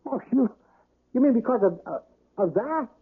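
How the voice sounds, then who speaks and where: An actor playing a weak, guilty husband, stammering and defensive, in a radio drama. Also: male voice, very high-pitched, animated, moderate pace, slightly rough, phone-quality sound, heavily acted. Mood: flustered, defensive. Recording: thin, band-limited old radio recording.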